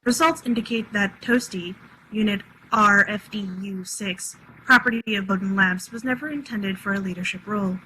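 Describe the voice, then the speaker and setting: A woman's voice: mid-range voice, moderate pace, bright, forceful tone, a little hiss or hum, close to an everyday voice, low-quality recording. A woman playing a literal-minded, unemotional artificial intelligence with formal, precise speech in a script reading. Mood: clinical. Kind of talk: dramatic live script reading.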